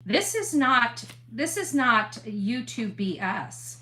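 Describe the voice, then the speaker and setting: A woman speaking earnestly to an audience as a commentator, halting and emphatic, repeating phrases to press her point. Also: fairly high pitch, very animated, pushed and bright. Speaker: interviewee, online true crime commentator.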